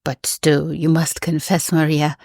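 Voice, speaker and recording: A woman reading aloud, voicing an odd elderly godfather who speaks in a grating, monotonous tone. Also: low-pitched, unhurried, dry recording, studio quality. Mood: teasing.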